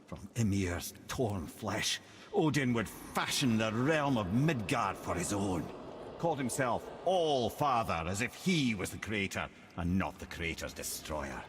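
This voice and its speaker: Swooping old storyteller, water noise. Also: male voice, lowish voice, expressive, unhurried. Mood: grand, narrating. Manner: theatrical, storytelling cadence. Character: wry old male storyteller, learned and bitter. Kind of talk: mythic storytelling in game dialogue. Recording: clear boat and water ambience noise.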